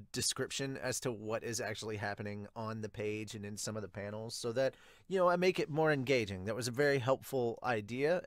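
A man's voice: medium pitch, no room sound. Casual man reviewing manga, dry sound. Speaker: host of manga review channel. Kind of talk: casual reaction and commentary to viewers. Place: small home room, indoors.

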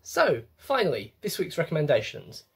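A man's voice: on the high side, wildly swooping pitch, normal speed, gravelly, breathy edge. A young English man, a comedian, talking to camera in a wry, chatty, self-mocking comic monologue from a small room. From England. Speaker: comedian, web series host. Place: small bedroom with bookshelves.

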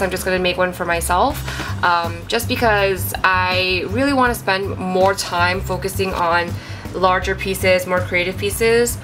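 A young woman with a stuffy, congested voice, chatting casually as she shows her handmade craft pieces, apologetic and full of ums and likes. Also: medium pitch. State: congested from a cold. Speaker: craft channel host. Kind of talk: casual show-and-tell vlog. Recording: clear music behind the voice.

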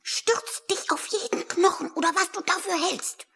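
A woman's voice: extremely high pitch, normal speed, gravelly, a bit bright, no echo, heavily acted. A woman playing a brave, polite young girl on a quest in a children's audio drama, earnest in manner. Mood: determined.

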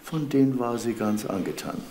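An actor playing a mercenary broker of noble titles in a German crime radio drama, formal and insinuating. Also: male voice, medium pitch, normal speed, dark tone, slight background noise, lightly acted. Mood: smooth, pressing.

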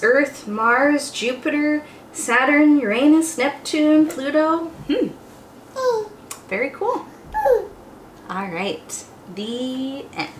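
A young woman hosting storytime as a teacher, reading aloud to small children in an expressive, exclamatory way. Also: sits high. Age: young adult. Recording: roomy echo, faint background noise.

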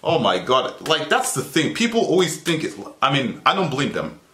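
Excitable young man in an echoey bedroom. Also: on the high side, normal speed. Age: young adult. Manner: excitable, playful, teasing asides. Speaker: reaction vlogger. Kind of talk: casual reaction commentary. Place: small bedroom, indoors. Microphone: webcam at desk, earphones in. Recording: echoey room.

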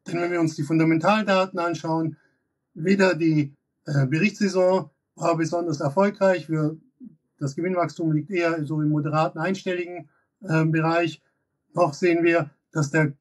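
A man in his thirties giving analytical market commentary as a guest expert, pausing often with fillers and restarts. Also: a little high, a little mellow, distant mic. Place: office with glass wall.

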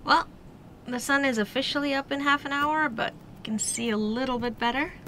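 A woman's voice: high voice, normal speed, bright, forceful tone, slight background noise, rough recording. Wry woman on a foggy boat deck. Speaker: sailing vlog co-host. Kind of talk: casual vlog narration. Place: aboard a sailboat.

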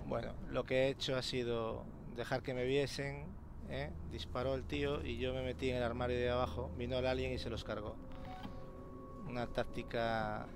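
A man's voice: mid-range voice, flat delivery, normal speed, creaky. A man from commentating a horror game, swearing and muttering to himself. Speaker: gameplay commentator. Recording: loud game noise underneath.

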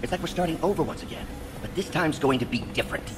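An actor playing an ambitious older male scientist in a video game, absorbed and musing in a measured way. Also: fairly high pitch, close to an everyday voice. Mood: absorbed, determined. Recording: clear background noise.

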